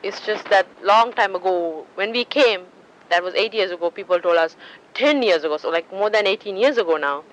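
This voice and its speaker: A woman's voice: mid-range voice, brisk, a bit bright, rough recording. A woman in her twenties from Sri Lanka, chatting casually about her life in an interview, with frequent restarts and fillers. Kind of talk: casual personal storytelling.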